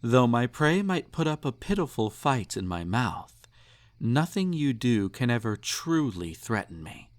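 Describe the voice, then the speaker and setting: A man's voice: mid-range voice, very animated, measured pace. A man playing a snobby, possessive emperor in an intimate romantic audio roleplay, indulgent and menacing, with a haughty, purring, condescending delivery. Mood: smug, tender, teasing.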